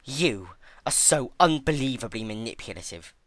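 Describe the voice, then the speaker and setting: An anguished young unicorn mare, acted out by a man, confronting someone in a dark storybook drama. The voice is vehement and forceful, lifted in pitch and swinging widely with emotion. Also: expressive, normal speed. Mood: cold resolve. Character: anguished, furious young female unicorn pony.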